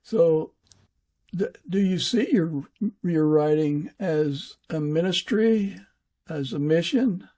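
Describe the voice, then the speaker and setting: A man with a husky, gravelly voice hosting a conversational interview, speaking hesitantly with many halts. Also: on the high side, mellow. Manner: hesitant, halting. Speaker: podcast host and fiction author.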